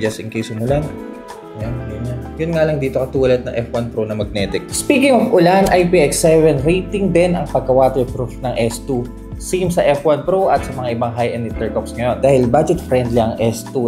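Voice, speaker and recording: Casual Filipino man over guitar music. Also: mid-range voice. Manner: casual, explanatory, mixing English phrases. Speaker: product reviewer. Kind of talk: conversational product walkthrough to camera. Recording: music behind the voice.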